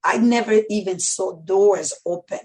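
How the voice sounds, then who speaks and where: A woman's voice: mid-range voice, a little slow, warm tone. A middle-aged woman with a Dominican Spanish accent, telling her personal story emphatically and repeating phrases for weight. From Dominican Republic. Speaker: podcast guest, medical center executive.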